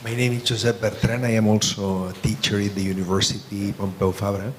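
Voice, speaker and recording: A man's voice: fairly low pitch, little pitch movement, brisk, roomy sound, slight background noise. A man who teaches at a university politely asks a panel a question, repeating words as he thinks aloud. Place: conference hall.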